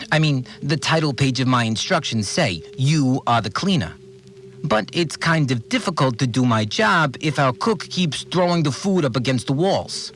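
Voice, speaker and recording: An actor playing an earnest, aggrieved cleaner who keeps an audio diary in a naive, rambling, complaining way. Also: male voice, mid-range voice, fairly bright tone. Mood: weary, put-upon. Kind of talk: audio drama monologue, conversational recorded log.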